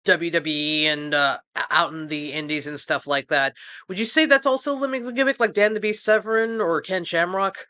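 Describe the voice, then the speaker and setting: A woman hosting a casual podcast, putting questions to her guest. Her voice is bright, and she feels her way into each question with ums and likes. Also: on the low side, normal speed. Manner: hesitant, many fillers. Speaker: podcast host asking questions.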